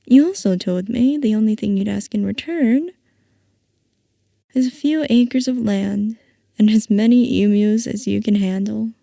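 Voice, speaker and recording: A woman playing a playful, possessive scientist boss who dotes on her assistant, in a soft, coaxing ASMR roleplay. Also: mid-range voice, creaky, no echo, clean studio sound. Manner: soft, coaxing, affectionate villainy.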